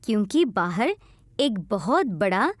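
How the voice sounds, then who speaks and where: A woman's voice: mid-range voice, very animated, slow pace, dry recording, studio quality. A woman voicing a wistful young pigeon chick who yearns to fly, in a children's storybook.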